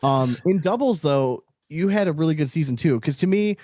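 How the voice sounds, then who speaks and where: A man's voice: fairly high pitch, lively intonation, moderate pace, warm tone, no echo, clean studio sound. A man hosting a casual podcast interview, asking questions and offering encouraging, affirming responses. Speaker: podcast host interviewing a guest.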